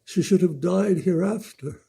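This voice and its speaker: Guy doing a bleak worn-out king, shakespeare speech, husky n creaky, slow and measured. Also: high voice, full character voice. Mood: desolate, weary. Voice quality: gravelly, husky, dark. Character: weary, despairing, bleak tyrant king. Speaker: amateur actor. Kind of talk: Shakespearean soliloquy.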